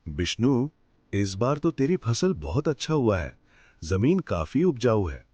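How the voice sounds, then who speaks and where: A man's voice: mid-range voice, big pitch swings, unhurried, a little mellow, no echo. A man voicing a greedy, cunning village moneylender in a cartoon story, with a smug and sly way of talking. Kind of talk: Hindi cartoon story voice acting.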